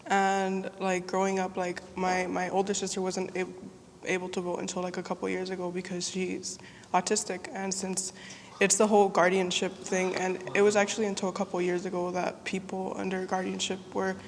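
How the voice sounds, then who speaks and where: A woman talks earnestly and informally, with frequent fillers. Her pitch stays very even, and long reverb follows her words. Faint ambience sits behind her. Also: moderate pace, slight background noise. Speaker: discussion participant. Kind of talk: debate discussion.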